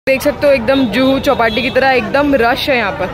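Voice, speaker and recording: A woman's voice: high voice, moderate pace. Chatty woman vlogging in a crowd. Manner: chatty, excited exclamations. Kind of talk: casual travel vlog narration. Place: outdoors at a crowded beach at night. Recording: crowd noise behind.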